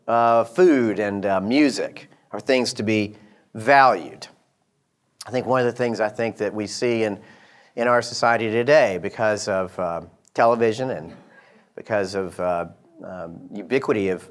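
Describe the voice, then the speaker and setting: A middle-aged man from Georgia with a slight Southern accent, giving a lecture with many pauses and fillers. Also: mid-range voice. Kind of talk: lecture to an audience.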